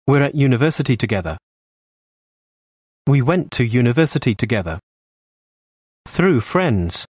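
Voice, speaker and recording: A man reads everyday English phrases aloud for learners, one by one. His pitch moves a lot within each phrase, and he keeps an unhurried pace. The recording is dry and close. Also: dry recording. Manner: slow, clear, pauses between phrases. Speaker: phrase reader. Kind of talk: slow scripted phrase reading.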